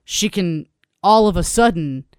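Excitable co-host, curses freely, rambles off topic, mid-pitched voice, very dry recording. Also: measured pace, smooth, dry recording. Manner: excitable, swearing, rambling asides. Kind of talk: casual chat about sci-fi shows.

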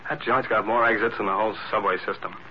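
Vintage radio drama, tough slangy policeman, played by a male actor, lowish pitch, brisk pace, dull sound. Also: steady tone, lightly acted. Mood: wry, suspicious. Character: tough slangy streetwise city cop. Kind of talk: 1940s radio acting. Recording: muffled old radio recording, faint noise.

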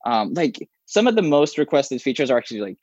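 A man's voice: medium pitch, sing-song, fairly quick, darkish tone, breathy edge, no room sound, highs cut off. Man with Arabic as his first language, rambling. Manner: rambling, frequent restarts and fillers. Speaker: software developer guest. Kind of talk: casual interview conversation.

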